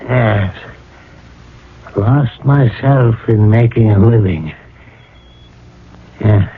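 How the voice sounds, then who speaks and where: An actor playing a weary, guilt-ridden businessman father in a radio drama, halting and rueful. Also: on the low side, husky, exaggerated voice. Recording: muffled old radio broadcast.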